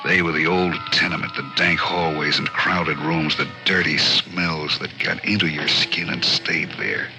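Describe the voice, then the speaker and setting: A man narrating an old radio thriller in a grim, suspenseful, deliberate manner. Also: very deep voice, steady tone, creaky. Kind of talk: dramatic scripted narration, 1940s-50s radio thriller. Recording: old radio recording, loud music behind.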